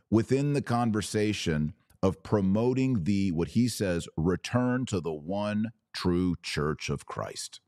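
Male podcast host for opinionated religious commentary: slow, deliberate, punchy phrasing, emphatic and dogmatic, in a dry studio-like sound. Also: on the low side, a little mellow, dry recording.

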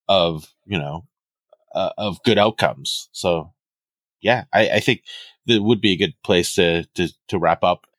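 A man's voice: sits low, a bit bright, dry recording. A man hosting a podcast, wrapping up the interview and reading the closing credits. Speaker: podcast host. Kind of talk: interview wrap-up and scripted outro.

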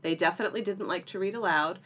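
A woman's voice: fairly low pitch, fast talker, fairly bright tone, husky. A middle-aged woman co-hosting a podcast in a casual, chatty style with a playful, bantering manner. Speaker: podcast co-host.

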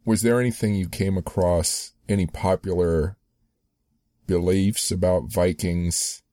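A man's voice: deep voice, fairly even intonation, a little slow, dark tone, dry recording, clean studio sound. A man interviewing an author, asking hesitant, rambling questions full of restarts.

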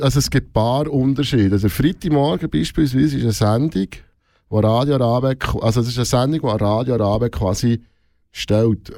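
A man's voice: mid-range voice, warm tone, dry recording, clean studio sound. A man with a Swiss German accent answers interview questions casually as a radio host, often rephrasing and repeating words as he searches for them.

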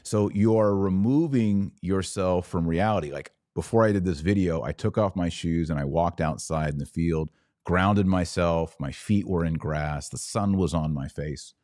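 Low-voiced American man, dry close sound. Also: normal speed, darkish tone, no echo. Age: middle-aged. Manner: emphatic, rhetorical questions and short punchy phrases. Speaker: podcast host. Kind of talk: opinionated monologue to listeners.

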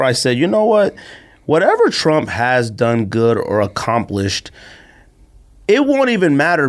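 An American man hosting a casual podcast, talking in blunt, informal slang and acting out imagined quotes as he gives his opinions. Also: medium pitch. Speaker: podcast host.

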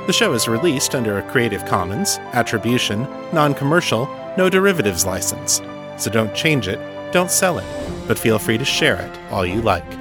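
A man hosting a solo podcast, reading and answering listener letters in an articulate, measured, explanatory way. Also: medium pitch, big pitch swings, over music. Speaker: podcast host and novelist.

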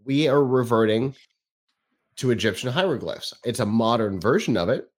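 A man's voice: medium pitch, lively intonation, normal speed. A man co-hosting a podcast in casual chat, wry and emphatic with his opinions. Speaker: podcast co-host.

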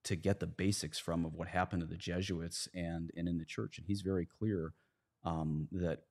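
A man talking through his points in a casual podcast discussion, often halting and correcting himself as he explains. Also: sits low, steady tone, gravelly, darkish tone. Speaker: priest and podcast guest.

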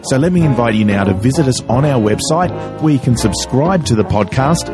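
A man's voice: medium pitch, lively intonation, brisk. Man reading promo over music. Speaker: music show host. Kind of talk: scripted podcast promo. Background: music bed.

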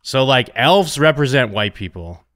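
A man pitching story ideas in a casual chat, animated and halting with many fillers. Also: no echo, studio quality. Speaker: co-writer brainstorming a story. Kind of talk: casual creative brainstorming chat.

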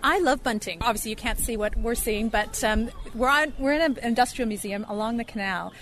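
A woman's voice: fairly high pitch, fairly quick, a bit bright. A woman from Toronto with a Canadian accent, chatting cheerfully about her surroundings outdoors by a canal. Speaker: interview guest. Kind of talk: casual interview chat.

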